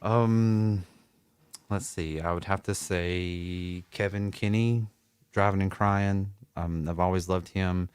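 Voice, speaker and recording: A middle-aged man with a Southern American accent and a low voice, recorded close and dry in a music studio. He tells stories from his youth in an easy, rambling way and laughs often. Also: slow, smooth, no room sound. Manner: relaxed storytelling, laughs often. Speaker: musician guest. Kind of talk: casual interview.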